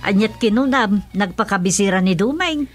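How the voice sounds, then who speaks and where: A woman's voice: medium pitch, lively intonation, moderate pace, no room sound, soft background music, close to an everyday voice. A woman with an Ilocano accent playing an opinionated, motherly villager in a radio comedy-drama, colloquial and gossipy. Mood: curious.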